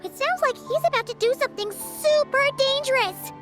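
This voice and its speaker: A woman voicing a tiny, excitable, childlike fairy companion in a video game, squeaky and exclamatory. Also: moderate pace, faint music behind. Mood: alarmed. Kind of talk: cartoonish video game voice acting.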